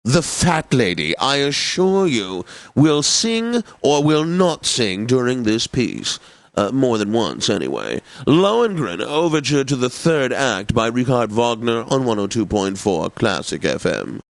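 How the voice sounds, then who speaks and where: An actor playing a pompous, drily witty classical radio DJ in a video game, with formal, over-polite, theatrical announcing. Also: male voice, mid-range voice, big pitch swings, unhurried, gravelly, dry recording. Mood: drily playful, then stately, obliging.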